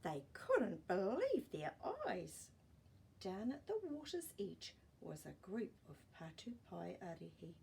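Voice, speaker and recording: A woman's voice: mid-range voice, wildly swooping pitch, slow, gravelly, darkish tone, a little hiss or hum. A woman reading a children's story expressively, with playful asides to listeners.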